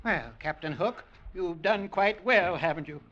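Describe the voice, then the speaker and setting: A flustered, blustering gunrunner. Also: male voice, a little slow, fairly bright tone. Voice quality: high, flustered, stammering. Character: blustering, nervous male gunrunner. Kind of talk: 1940s radio acting. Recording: dull lo-fi 1940s radio broadcast.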